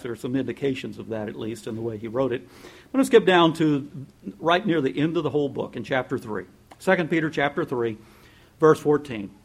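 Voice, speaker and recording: A man delivering a sermon to his congregation in an easygoing, conversational voice of middling pitch. He laughs often and slips into playful impressions when he quotes people. His energy climbs when he urges the listeners on. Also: slightly rough. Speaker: pastor.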